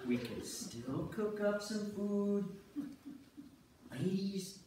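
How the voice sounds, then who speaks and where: A man acts out a calm, take-charge leader, speaking slowly and steadily. He is far from the mic in a very echoey hall. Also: high voice, a little hiss or hum, heavily acted. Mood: steady, reassuring. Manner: steady, reassuring. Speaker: amateur reader's theater performer. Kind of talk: staged play reading. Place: church sanctuary stage before an audience. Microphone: far audience camera. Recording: distant, very echoey church hall.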